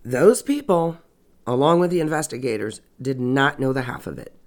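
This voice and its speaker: A woman's voice: rumbling low, lively intonation, moderate pace, a little mellow. Stuffy, scratchy-voiced woman podcast host. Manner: wry, sarcastic asides. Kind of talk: casual true crime narration.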